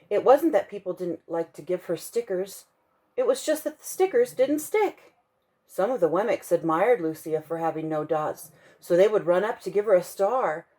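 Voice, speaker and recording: A woman reading a children's bedtime story aloud for a church kids' ministry, expressive and slowing down to stress key moments. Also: on the low side, poor audio.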